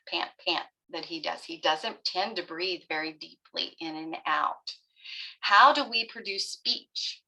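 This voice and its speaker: A woman's voice: lowish voice, sharp tone, poor audio. A middle-aged woman therapist giving a webinar lecture to parents, explaining step by step and often checking in with "right?". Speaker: pediatric therapist presenting a webinar.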